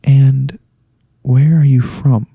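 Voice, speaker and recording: A man playing a gentle, caring elf cleric in a soft-spoken ASMR fantasy roleplay, soothing and attentive, with slightly formal phrasing. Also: medium pitch, little pitch movement, slow, creaky, breathy edge, no echo, exaggerated voice. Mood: friendly, curious. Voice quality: soft-spoken, breath-light delivery. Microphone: close studio USB condenser microphone.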